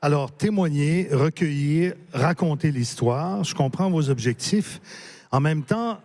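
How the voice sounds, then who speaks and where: A man moderating a panel, asking long framing questions in a measured way. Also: a little high, darkish tone. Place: book fair stage.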